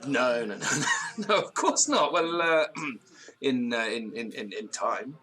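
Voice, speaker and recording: An actor playing a nervous, theatrical, disgraced gentleman in an audio drama, with florid old-fashioned diction and a tendency to stammer. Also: mid-range voice, lively intonation, takes it slowly, full character voice. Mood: flustered, pleading. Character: nervous, theatrical, hard-drinking disgraced university gentleman storyteller.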